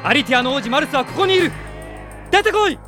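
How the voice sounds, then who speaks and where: A man's voice: very high voice, expressive, quick pace. A male actor playing a noble young prince in a fantasy audio drama, earnest and resolute, speaking formally and with passion. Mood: commanding. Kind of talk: Japanese fantasy radio drama acting. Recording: dramatic music behind the voice.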